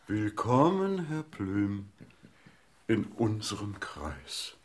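A man's voice: fairly low pitch, very animated, very slow, slight background noise, heavily acted. A man acting a pompous, ceremonious club delegate in an absurd radio play.

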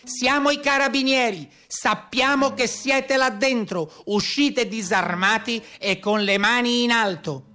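Male actor as solemn carabiniere, raised high voice, formal declamation, deliberate delivery, theatrical radio narration. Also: bright, forceful tone, heavily acted. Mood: commanding.

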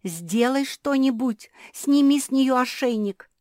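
A woman voicing an excitable, emotional little pug girl in a storybook, exclaiming breathlessly. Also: sits high, unhurried, no room sound, clean studio sound, pitched above the performer's own voice. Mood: pleading.

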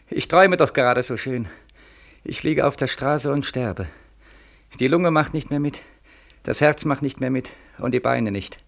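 Bitter war-broken soldier, sharp, animated. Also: male voice, medium pitch, creaky. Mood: dreamy, defiant. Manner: insistent, rhetorical questioning. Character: bitter, desperate, war-broken young soldier, accusing and mocking. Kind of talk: 1940s German radio play acting.

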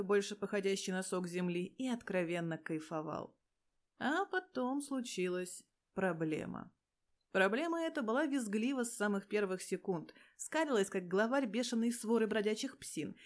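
A woman's voice: medium pitch, lively intonation, normal speed. A woman reading scripted fiction expressively, in a sardonic, slangy manner with crude language. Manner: sardonic, crude slangy storytelling with frequent swearing. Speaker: fan fiction narrator.

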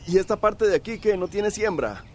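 An actor playing an earnest, practical villager in a radio drama, speaking matter-of-factly. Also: male voice, high voice, animated, brisk, a little hiss or hum. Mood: practical, upbeat. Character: earnest, practical male villager building wells.

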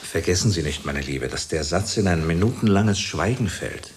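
A patronising theatre director, animated low voice. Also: male voice, slight background noise. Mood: flattering, paternal. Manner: patronising, hesitant pauses and chuckles. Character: jovial, flattering theatre director, condescending to a young beginner. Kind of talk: German radio drama acting.

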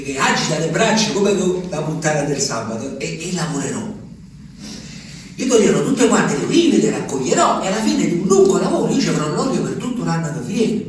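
A wistful old peasant. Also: male voice, fairly high pitch. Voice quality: musing, talks to the moon, rambling. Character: old lonely Abruzzese peasant man, wistful. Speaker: stage actor. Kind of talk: theatrical monologue. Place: theatre stage. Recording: distant stage recording with reverb and faint noise.

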